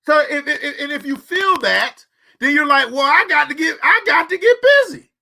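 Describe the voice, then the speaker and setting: A man's voice: very high voice, animated, moderate pace, gravelly, bright, forceful tone. A man in his forties hosting a show, giving a preachy motivational talk about money and repeating phrases for emphasis. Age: 40s. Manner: preachy, repeats phrases, talks straight to the audience. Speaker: podcast host.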